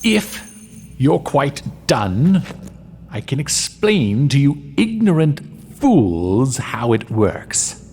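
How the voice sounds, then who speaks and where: A man's voice: mid-range voice, big pitch swings, drawn-out words, a little mellow, slightly rough, full character voice. An actor playing a haughty, scornful male wizard in an audio drama, talking down to others. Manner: condescending, insulting. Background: music bed.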